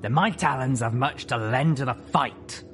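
A man voicing a gruff griffin mother and mercenary leader, firm and world-weary. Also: medium pitch, moderate pace, a bit bright, slight background noise, pitched above the performer's own voice, natural voice.

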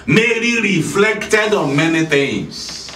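A middle-aged male pastor preaching slowly and emphatically, repeating key lines and often raising his voice. His voice sits high and sounds bright and tense. It is recorded from across an echoey church hall with faint music behind. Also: animated, slight background noise. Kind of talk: sermon. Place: church hall with red curtain. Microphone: handheld mic at a lectern. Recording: echoey hall, filmed from a distance.

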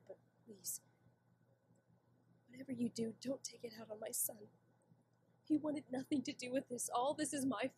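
A woman playing a remorseful, doting pony mother in an animated drama, with an emotional, pleading, breathy delivery. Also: a little high, a little hiss or hum, lightly acted, low-quality recording. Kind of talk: animated fan drama acting.

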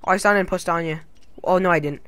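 A man's voice: high voice, fast, a bit bright, smooth, dry recording. A young man hosting a casual gaming commentary, joking, swearing and slipping into silly put-on accents. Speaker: gamer channel host.

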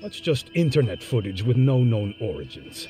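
An actor playing a smug, evasive middle-aged defendant in a courtroom drama game. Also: male voice, medium pitch, big pitch swings, moderate pace, mellow, faint music behind, close to an everyday voice. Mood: dismissive, needling. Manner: sardonic, evasive.

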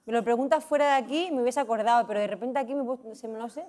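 A woman in her thirties from Spain, an actress chatting as a guest on a talk show, lively and playful and sometimes flustered. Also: a little high, racing. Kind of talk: casual talk show interview. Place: TV studio with live audience. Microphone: lapel mic. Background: studio audience laughter and noise.

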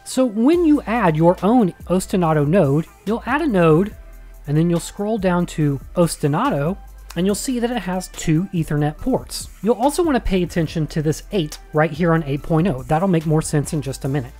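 American man, thirties, soft dark tone, conversational instructor, emphatic tutorial delivery, close studio mic, music behind. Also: high-pitched, animated, moderate pace. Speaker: instructor and video host. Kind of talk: tutorial explanation. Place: small home studio room. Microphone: close studio mic on boom arm.

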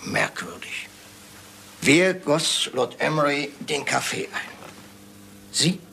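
An actor playing a courteous, shrewd detective in a German crime radio play, questioning politely and precisely. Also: male voice, on the high side, very animated, slow pace, vocal fry, bright, forceful tone, heavily acted. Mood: inquisitive.

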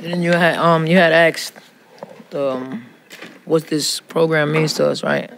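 A man's voice: on the high side, fairly even intonation, unhurried, gravelly, fairly bright tone, slight background noise. A man speaking candidly and personally in a conversational discussion. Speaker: prison poetry student.

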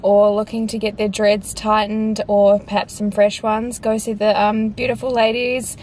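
A woman's voice: medium pitch, level tone, moderate pace, a little mellow, a little hiss or hum. A young Australian woman chatting casually and slangily to camera in an upbeat way, vlogging from inside a car. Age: young adult. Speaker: travel vlogger.

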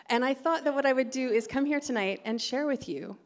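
A woman's voice: sits high, brisk. Middle-aged american woman, stand-up set on a theatre stage, chatty and giggly, pokes fun at herself. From California. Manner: chatty, giggly, self-deprecating. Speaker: stand-up comedian. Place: theatre stage before a live audience. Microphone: stand microphone.

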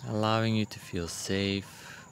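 A man leading a guided meditation in a gentle, unhurried manner, with long pauses between short phrases. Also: fairly low pitch, steady tone, slight background noise. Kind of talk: guided meditation, soothing instruction.

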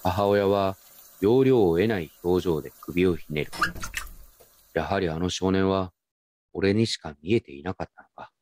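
A man's voice: deep voice, a little slow, darkish tone, a little hiss or hum. A man giving scripted first-person narration for a radio drama.

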